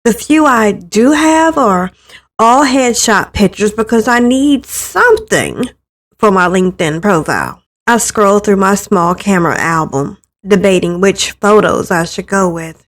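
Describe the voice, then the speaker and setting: A woman with a medium-pitched voice reads a story aloud in the first person. Her delivery is conversational and expressive, and she acts out the lines as she goes. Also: takes it slowly. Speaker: visual novel player reading aloud.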